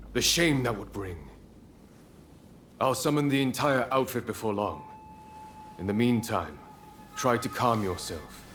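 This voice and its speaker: A man playing a composed, authoritative samurai commander in a video game, grave and measured. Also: lowish voice, slight background noise. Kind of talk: dramatic video game cutscene acting.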